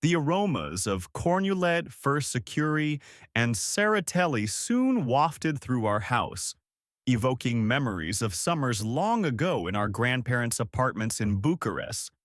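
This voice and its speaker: A man reading a personal essay aloud in a steady read-aloud delivery. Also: medium pitch, unhurried, no echo, clean studio sound. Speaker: essay reader.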